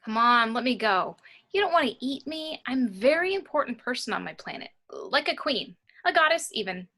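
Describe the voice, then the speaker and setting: Woman playing a high, bright, self-important captive woman. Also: lively intonation, moderate pace, full character voice. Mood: pleading, haughty. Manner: pleading, boastful.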